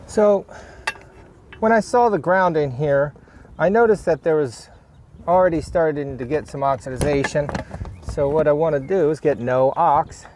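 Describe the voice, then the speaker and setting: A man's voice: fairly high pitch, moderate pace, darkish tone. Man thinking aloud during noisy hands-on work. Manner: unscripted, thinking aloud in short plain remarks. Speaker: DIY vlogger demonstrating a vehicle repair. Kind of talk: casual hands-on how-to narration while working.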